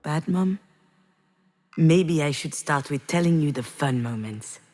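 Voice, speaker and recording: A woman's voice: deep voice, lively intonation, measured pace, darkish tone. A woman playing a troubled, self-doubting, grieving mother in a narrative video game, speaking introspectively. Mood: reminiscing. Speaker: voice actor in a visual novel game. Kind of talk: dramatic narrative game dialogue and introspective monologue.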